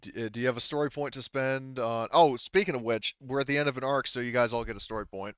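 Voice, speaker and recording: A man's voice: mid-range voice, quick pace, a bit bright, clear, resonant tone, no echo, highs cut off. A man talking casually as game master of a tabletop roleplaying game, explaining rules as he goes.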